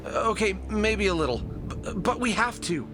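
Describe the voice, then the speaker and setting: A woman's voice: mid-range voice, expressive, unhurried, natural voice. A woman playing an earnest, determined young adventurer in an audio drama, a little hesitant. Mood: resolute. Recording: music behind.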